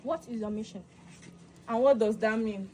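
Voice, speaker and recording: A woman's voice: a little high, normal speed, warm tone, slight background noise, natural voice. A woman playing a young girlfriend who questions and scolds strangers and insists she is innocent. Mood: suspicious. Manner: indignant, confrontational. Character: defiant young girlfriend accused of being a spirit.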